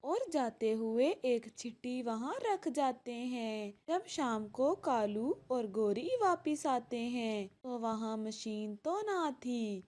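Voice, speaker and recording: A woman's voice: a little high, warm tone. A woman narrating a scripted children's story as the storyteller.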